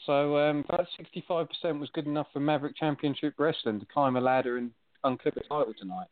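A man's voice: a little high, fast, no echo. A man, a guest on a call, teasing and mock-pompous in joking banter. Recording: tinny call audio.

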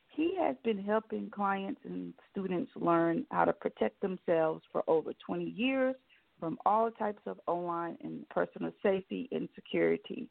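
Radio host lady, kinda gravelly voice, eager questions, sounds like over the phone. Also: medium pitch, no room sound. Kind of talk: scripted guest introduction and interview questions. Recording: dry, phone-like line.

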